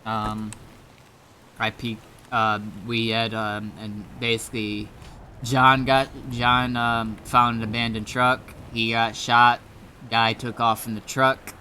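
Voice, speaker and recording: A man's voice: medium pitch, flat delivery, takes it slowly, sharp tone, slight background noise. A man chatting casually over gameplay in a hesitant, rambling way, as a streamer would. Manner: rambling, hesitant, repeats himself. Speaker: streamer and server admin. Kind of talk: casual gameplay commentary and chat.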